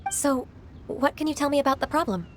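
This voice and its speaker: An actress playing a gentle, composed and shrewd young secretary in a video game, speaking politely and thoughtfully. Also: female voice, sits high, animated, brisk, a little hiss or hum. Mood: calm, helpful.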